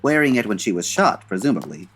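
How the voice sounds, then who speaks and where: An actor playing a suave, dry-witted 1940s gentleman detective, urbane and measured, in an old-time radio style. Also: fairly low pitch, very animated, faint music behind. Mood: wry, probing.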